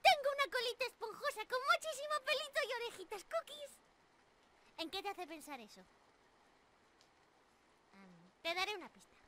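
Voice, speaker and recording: Very high-pitched, bubbly squirrel girl. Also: normal speed, long pauses, very noisy. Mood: playful, bubbly. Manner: bubbly, excitable, stammering, giggly. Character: hyper, ditzy, timid young squirrel monster girl. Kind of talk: ASMR roleplay in anime-girl style.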